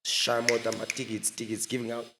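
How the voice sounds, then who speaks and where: A young man, a podcast guest, telling stories in a relaxed, casual way and laughing often. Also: medium pitch, a little fast, noisy background. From Zimbabwe. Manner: relaxed, laughs often, mixes in local words. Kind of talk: casual storytelling chat.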